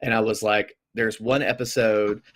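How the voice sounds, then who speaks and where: Low-voiced man musing on a podcast. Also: little pitch movement, normal speed. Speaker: podcast co-host. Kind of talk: casual discussion over a call.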